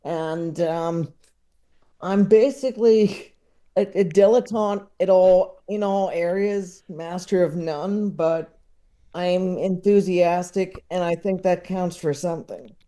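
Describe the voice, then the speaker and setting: A man with a soft, mellow voice chats casually as a podcast guest. He takes his time, halting often, and sounds enthusiastic yet self-deprecating, tending to chuckle as he talks. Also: high voice.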